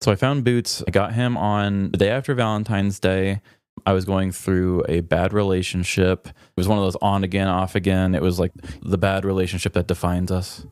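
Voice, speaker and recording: A low-voiced podcast co-host in a dry recording. The delivery is informal and conversational, suited to casual personal storytelling, with the odd swear word. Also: level tone, normal speed, darkish tone, clean tone, no echo.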